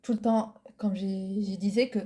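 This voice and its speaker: A woman whose first language is Arabic, co-hosting a casual podcast and often pausing to search for words. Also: mid-range voice, steady tone, warm tone. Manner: hesitant, searching for words, many fillers. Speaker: podcast co-host. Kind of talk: casual chat.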